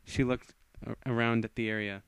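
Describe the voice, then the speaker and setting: A man's voice: medium pitch, flat delivery, moderate pace, vocal fry, clear, resonant tone. A man narrating fan fiction as an amateur reader, often stumbling and rereading phrases.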